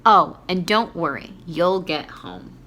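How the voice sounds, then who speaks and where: A woman's voice: on the low side, big pitch swings, slow, bright, forceful tone, a little hiss or hum, heavily acted. A woman voicing an earnest, helpful young shop girl in a storybook, chatty and reassuring.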